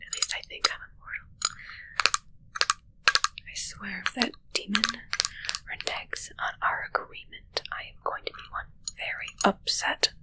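A young woman playing a teasing, haughty immortal witch in a whispered ASMR roleplay, musing slowly and condescendingly close to the microphone. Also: medium pitch, lightly acted, low-quality recording. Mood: dry, musing. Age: young adult. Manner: slow, musing, dryly condescending. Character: haughty, teasing immortal witch fussing over her familiar. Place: small indoor set with dark drapes.

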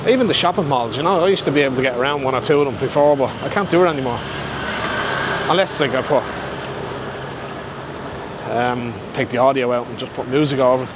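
A man's voice: mid-range voice, fairly quick, long pauses. Man narrating a street walk. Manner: offhand, pointing things out as he walks. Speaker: walking tour vlogger. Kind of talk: casual walking-tour narration. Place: busy street outdoors. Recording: loud street traffic noise.

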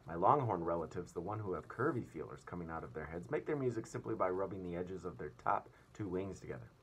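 A man's voice: low voice, animated, quick pace, full character voice. A man voicing a courteous, proud elderly grasshopper violinist in a children's storybook, in a lecturing manner. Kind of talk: children's storybook read-aloud. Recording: clear room noise.

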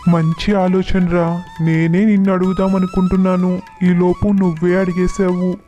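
A man playing a gentle, forgiving elderly father in a storybook tale, speaking mildly with resignation. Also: sits high, flat delivery, moderate pace, lo-fi sound, soft background music, close to an everyday voice. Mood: calm, resigned. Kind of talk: storybook dialogue.

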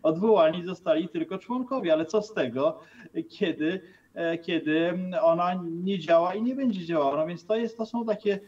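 A man's voice: high-pitched, moderate pace, a little mellow. A middle-aged man commenting as a guest over a video call from a room with bookshelves, hesitant, with many fillers and restarts. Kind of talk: remote TV interview commentary. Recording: distant, dull video-call sound.

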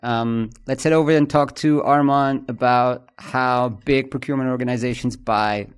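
A man in his thirties hosting a podcast, relaxed and joking, asking brisk questions. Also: medium pitch, smooth. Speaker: podcast host.

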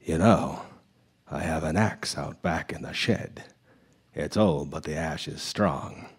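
Man playing a husky, slow, sinister lumberjack. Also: very deep voice, exaggerated voice. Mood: sly, menacing. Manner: slow, insinuating, folksy. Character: sinister, seductive backwoods lumberjack stranger, slyly menacing. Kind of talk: live radio drama acting.

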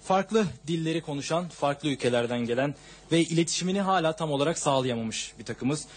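A man's voice: on the high side, expressive, brisk, breathy edge. A man interpreting a football coach's long answer at a press conference, talking steadily through a table microphone.

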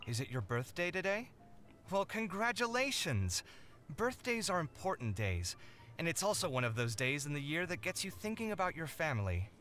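A man's voice: fairly high pitch, sing-song, normal speed, sharp tone. An actor playing a friendly, sincere young man in a video game, speaking earnestly and politely. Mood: cheerful, sincere.

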